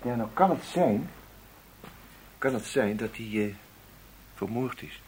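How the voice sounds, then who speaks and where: An actor playing a polite but insistent intelligence investigator in a radio play, probing with hesitant murmurs. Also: male voice, medium pitch, animated, takes it slowly, darkish tone, a little hiss or hum, rough recording. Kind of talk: radio drama acting.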